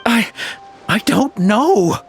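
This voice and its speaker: A man's voice: sits high, lively intonation, extremely slow, faint music behind, full character voice. A man playing a nervous, cooperative henchman in a video game, hesitant and deferential as he explains. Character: nervous, cooperative male henchman, youngish underling.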